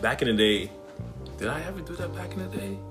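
A man's voice: fairly high pitch, very animated, quick pace, music in the background. A man who is a recurring guest, talking casually, hesitant and evasive, laughing things off. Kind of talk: casual chat.

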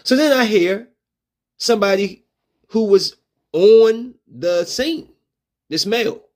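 A man's voice: sits high, expressive, slow pace. A man hosting a solo opinion podcast, talking in loose slang and acting out street confrontations in a raised voice. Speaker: podcast host.

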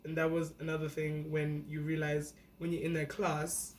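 A young woman from South Africa, a podcast guest, telling a personal story in a casual, animated way with lots of fillers. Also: sits low, moderate pace, a little hiss or hum. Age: young adult. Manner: animated, acts out her younger self.

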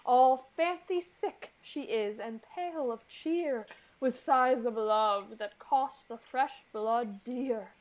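Woman doing a bossy magical fairy king, highish voice, really slow stately shakespeare verse for radio. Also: poor audio. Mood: stern, commanding. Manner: stately, declamatory, measured verse delivery. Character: commanding, spell-casting male king of the fairies.

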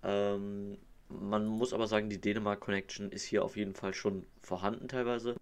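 A man's voice: on the low side, little pitch movement, moderate pace, clear, resonant tone. A man from Hamburg co-hosting a podcast in easygoing, casual chat. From Hamburg, northern Germany. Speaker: podcast co-host.

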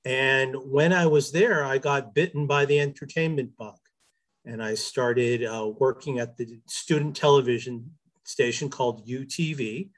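A man from New Hampshire telling personal stories casually as a podcast guest. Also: mid-range voice. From New Hampshire, United States. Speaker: podcast guest, business ethics expert. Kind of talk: casual anecdotal storytelling.

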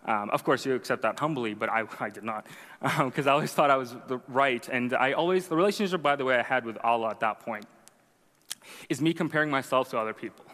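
A man's voice: mid-range voice, fast, a bit bright. Man, Arabic as first language, young adult, personal testimony, conversational asides, reverberant hall. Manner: conversational, self-deprecating, frequent "right?" asides. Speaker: speaker sharing a conversion testimony.

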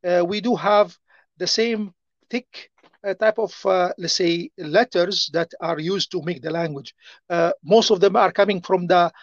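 A man with an Arabic accent answering interview questions in a halting way, full of fillers and restarts. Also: sits high, fairly even intonation, no room sound, highs cut off. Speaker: interview guest.

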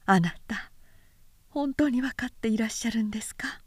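A woman narrator voicing a proud, high-strung samurai wife who presses and pleads in polite, urgent speech in an audiobook story. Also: moderate pace, darkish tone, breathy edge, no room sound. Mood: tense pleading.

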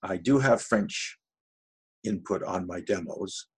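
A man's voice: low voice, takes it slowly, a little mellow, slightly rough. A man with an Ottawa Valley Canadian accent, a voice actor chatting as a guest in an interview, telling stories. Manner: chatty, storytelling. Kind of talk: casual interview chat.

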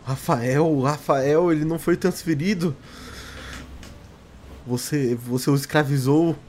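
A man's voice: a little high, unhurried, darkish tone, a little hiss or hum. A man, a tabletop player speaking hesitantly as a nervous character.

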